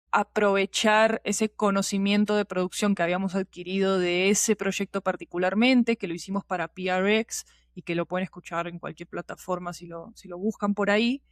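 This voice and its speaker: A woman's voice: medium pitch, no room sound, clean studio sound. A woman talking as an interview guest, explaining in a conversational storytelling way and checking that the listener follows. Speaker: podcast producer, interview guest.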